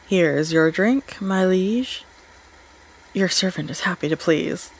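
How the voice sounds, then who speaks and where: A woman's voice: fairly low pitch, takes it slowly, rough recording. A woman playing a caring, playful friend in a scripted audio roleplay, casual and soothing with some teasing. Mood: sympathetic, playful. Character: caring, playful female friend hosting a cozy evening.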